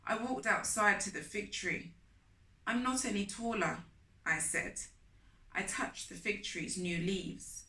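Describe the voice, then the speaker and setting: A woman reading aloud as a children's storytime host, with deliberate, emphatic, expressive phrasing. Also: medium pitch. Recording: roomy echo, distant microphone.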